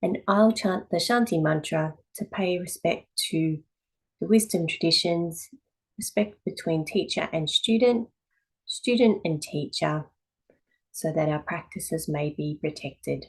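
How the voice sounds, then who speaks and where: A woman teaching yoga, giving calm, measured guided meditation instruction in short phrases with pauses between them. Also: fairly low pitch, slightly rough, rough recording.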